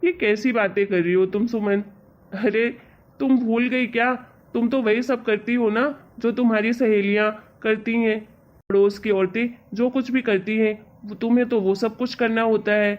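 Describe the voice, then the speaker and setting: A woman's voice: fairly high pitch, fairly even intonation, normal speed, close to an everyday voice. A woman playing an exasperated, reasoning husband in an amateur cartoon story. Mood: teasing, needling. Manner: reasoning, chiding, colloquial. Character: exasperated young husband, patient and teasingly lecturing. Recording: dull, lo-fi audio.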